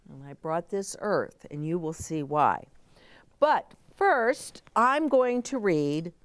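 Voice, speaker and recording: A middle-aged woman reads aloud for young children at storytime. Her pitch swings expressively and her pace is slow. She adds simple, chatty explanations, and the sound is close and dry. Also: sing-song, no room sound.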